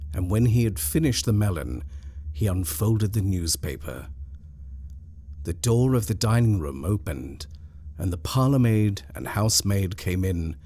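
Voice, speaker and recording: A man reading a scripted ghost story in a measured, dramatic storyteller's manner. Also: low voice, a little mellow. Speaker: story reader.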